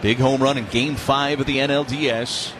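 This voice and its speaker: A man's voice: mid-range voice, normal speed. A man calling live baseball play-by-play as a radio announcer. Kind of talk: live radio sports commentary. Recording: steady ballpark noise behind voice. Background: outdoor stadium ambience.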